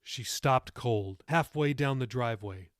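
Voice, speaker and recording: A man's voice: mid-range voice, unhurried, no room sound, studio quality. A man reading his own novel aloud as audiobook narration, with dramatic storytelling delivery.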